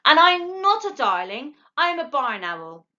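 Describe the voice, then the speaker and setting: Woman playing a small male barn owl chick, piping, very high, excitable, lively swoops, dull recording. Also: slow, full character voice. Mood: indignant.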